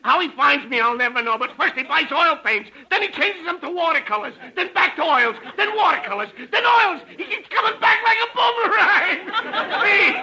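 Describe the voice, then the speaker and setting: A frantic male shop clerk in a 1950s radio comedy. The voice is very high and piercingly bright. He stammers, fed up and hysterical. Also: animated, normal speed, heavily acted, rough recording. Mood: frantic, exasperated.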